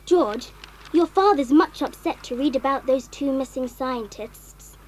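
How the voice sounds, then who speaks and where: An actress playing a forthright, expressive child adventurer in a children's audio drama. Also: female voice, sits high, a little slow, poor audio. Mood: reading aloud. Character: forthright child adventurer, eager and plain-speaking.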